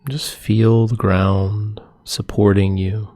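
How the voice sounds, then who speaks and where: A man leading a guided meditation as a yoga nidra teacher, giving gentle, soothing, unhurried instructions with pauses between phrases. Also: fairly low pitch, little pitch movement.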